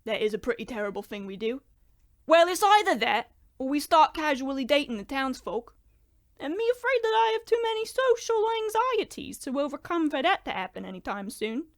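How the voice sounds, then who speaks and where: A woman's voice: high voice, sing-song, brisk, dry recording, clean studio sound. A woman playing a chirpy, anxious, loyal little monster chimney sweep in an old-time radio horror comedy, earnest and apologetic. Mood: sheepish, earnest.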